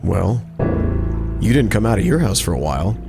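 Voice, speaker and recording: A man's voice: on the low side, sing-song, moderate pace, gravelly, loud music behind the voice. A man playing an easygoing small-town fisherman, a friendly neighbor in a game cutscene. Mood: easygoing, teasing. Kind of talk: acted game cutscene dialogue.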